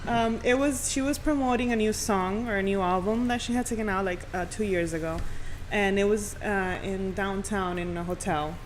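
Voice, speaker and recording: A woman's voice: medium pitch, normal speed, few pauses, a little hiss or hum, poor audio. A woman who works as a radio presenter, chatting casually as a guest in an interview. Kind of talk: casual conversational interview chat.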